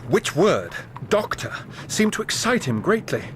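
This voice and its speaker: An actor in a radio drama playing a distraught young English gentleman, a grieving son. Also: high-pitched, big pitch swings, unhurried, a little hiss or hum. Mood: shaken, urgent.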